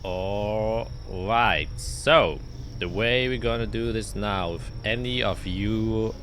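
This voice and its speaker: Deep-voiced male game master. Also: takes it slowly, fairly bright tone, a little hiss or hum. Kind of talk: casual rules talk and scene narration.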